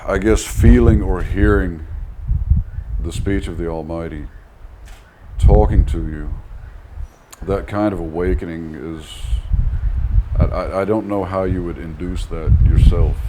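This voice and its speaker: A man speaking as an interview guest in a reflective way, thoughtful and hesitant, searching for his words. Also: low voice. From United States, Texas. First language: English. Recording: audible background noise.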